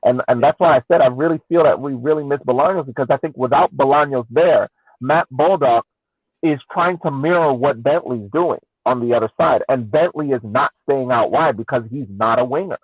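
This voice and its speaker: A man's voice: medium pitch, fairly quick, breathy edge, no room sound. A man on a podcast panel casually arguing through a soccer game, posing questions and acting out reactions. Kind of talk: casual post-game sports discussion. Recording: thin phone-like radio sound.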